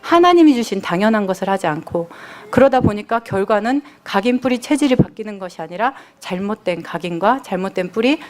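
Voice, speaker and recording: A woman's voice: mid-range voice, a little mellow. A woman preaching at a church service, moving between personal testimony and earnest, emphatic exhortation, stressing her points in repeated lists.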